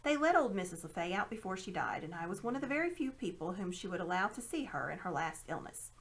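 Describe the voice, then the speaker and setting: A woman acts out a self-important old gentleman from a storybook. He talks down to his listener in grand, pompous phrases, with a very animated rise and fall in pitch. His temper is smug and scheming. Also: quick pace. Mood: evasive, self-satisfied. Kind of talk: storybook read-aloud character voice.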